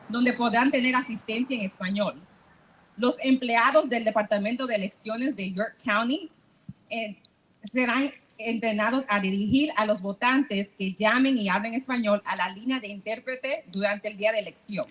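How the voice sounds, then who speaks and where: Woman, lawyer, formal measured statement, higher pitch, faint background noise. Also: fairly bright tone, poor audio. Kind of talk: prepared press statement. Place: outdoor rally.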